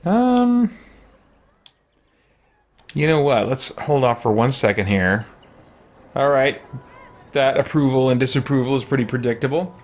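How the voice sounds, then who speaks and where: A man's voice: medium pitch, animated, unhurried, pauses often. A middle-aged man giving casual live gameplay commentary as a streamer, thinking aloud. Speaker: streamer, gaming host. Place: small indoor room with shelves.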